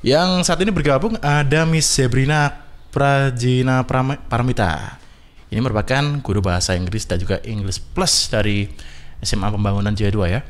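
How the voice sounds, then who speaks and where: A man's voice: medium pitch, brisk. Male radio host. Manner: switches between Indonesian and simple English, chatty. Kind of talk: bilingual radio talk show hosting. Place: radio studio.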